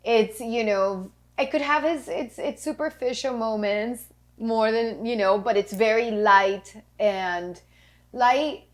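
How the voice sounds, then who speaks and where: A woman hosting a podcast, chatting warmly with a guest and repeating words for emphasis. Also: fairly high pitch, slow pace. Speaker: podcast host and Pilates instructor. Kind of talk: casual interview chat.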